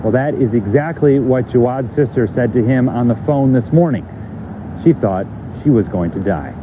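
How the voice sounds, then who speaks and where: A man's voice: medium pitch, moderate pace, noisy background. An American man narrating a scripted TV news story as a reporter.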